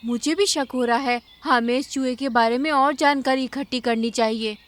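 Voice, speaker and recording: A woman's voice: high voice, steady tone, moderate pace, dry recording, close to an everyday voice. A woman voicing a concerned, clever female bird in a cartoon, speaking earnestly. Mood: suspicious, concerned. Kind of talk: cartoon character acting.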